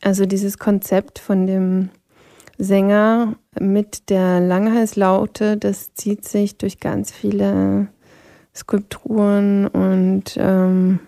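Gravelly, dark-voiced woman, native Polish speaker. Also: medium pitch, flat delivery, dry recording, clean studio sound. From Vienna. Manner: thoughtful, pauses to search for words. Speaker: singer guest. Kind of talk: interview conversation.

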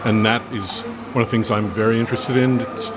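A man from Brooklyn, a tourist being interviewed in a restaurant, speaking quickly and thoughtfully. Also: medium pitch, steady tone, background noise. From Brooklyn, New York. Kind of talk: casual interview. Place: busy restaurant. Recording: crowd chatter behind.

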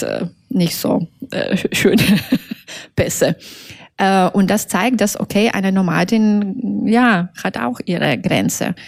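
A woman from Belarus, a native Russian speaker, answering interview questions in halting, careful German, with many fillers and self-corrections. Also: medium pitch, creaky, a little mellow, no room sound, clean studio sound. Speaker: author being interviewed.

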